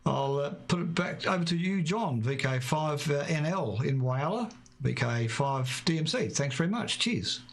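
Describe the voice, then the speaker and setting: Man, gravelly, hesitant radio hobbyist on a live stream. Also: fairly high pitch, lively intonation. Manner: halting, many fillers. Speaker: radio amateur presenting his town. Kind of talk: casual live stream talk. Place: home radio shack.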